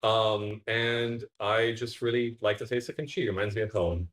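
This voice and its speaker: A man's voice: fairly low pitch, steady tone, moderate pace, fairly bright tone, slight background noise. A man from Bulgaria answering interview questions in an eager, rambling way, with frequent restarts. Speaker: game developer being interviewed.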